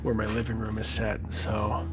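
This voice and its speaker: A man with a raspy, hoarse voice after being sick, chatting casually on a livestream with halting speech and many fillers while he answers viewers. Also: on the low side, completely flat delivery. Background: music playing softly.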